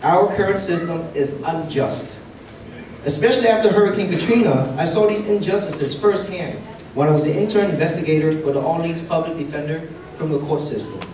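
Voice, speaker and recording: A man's voice: on the high side, brisk, a little mellow, far from the mic, slight background noise. A young man giving an earnest prepared speech, reading from his notes. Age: young adult. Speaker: community speaker. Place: large meeting hall, indoors. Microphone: handheld mic, far camera. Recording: echoey hall, recorded from afar.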